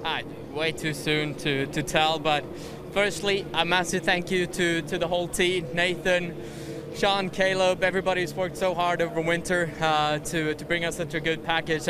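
A man, a racing driver, giving a modest, hesitant post-race interview outdoors through a face mask. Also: fairly high pitch. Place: outdoor pit lane. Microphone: handheld interview microphone, speaking through face mask. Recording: clear outdoor pit lane noise.

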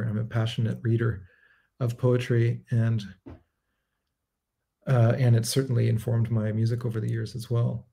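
A man in his thirties, a composer, answering viewer questions on a livestream from his home study in a measured, reflective way, pausing and correcting himself as he thinks. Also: medium pitch, creaky, dark tone. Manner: thoughtful, measured, with frequent fillers and self-corrections. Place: home study lined with bookshelves. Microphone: webcam framing at desk, livestream.

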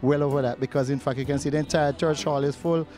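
Quick-talking, high-voiced man. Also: fairly even intonation, over music. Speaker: interviewee, event organiser. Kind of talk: unscripted interview.

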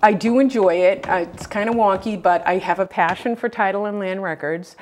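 A middle-aged woman from Boston, a political candidate answering questions in a TV studio interview, earnest and measured, recorded on a lapel mic. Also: mid-range voice, slight background noise. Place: TV news studio.

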